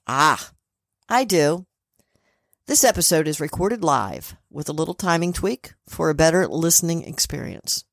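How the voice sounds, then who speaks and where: Woman with a loud voice, chatty and playful, lowish pitch, dry sound. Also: no room sound. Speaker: podcast co-host and spirit communicator. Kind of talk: casual chat and reading from a script.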